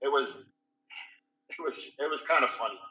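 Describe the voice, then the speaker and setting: A man's voice: mid-range voice, fairly quick. A man on a video call who recounts events casually and hesitantly, with frequent fillers. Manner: hesitant, many fillers, plainspoken. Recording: roomy, distant, lo-fi call audio.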